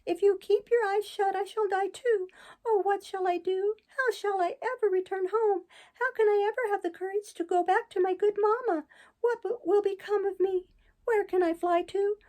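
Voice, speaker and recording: A woman voicing a cheeky wooden puppet boy in a storybook reading, speaking in a high, piping voice. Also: moderate pace. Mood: tearful despair.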